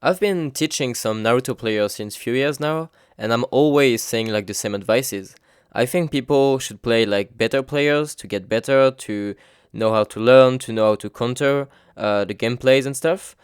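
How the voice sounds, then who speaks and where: A man's voice: medium pitch, moderate pace, smooth, dry recording, studio quality. A man from France with a French accent, a native French speaker, chatting casually and informally as a featured gamer. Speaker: gamer and video editor, featured guest. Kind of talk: casual chat about gaming.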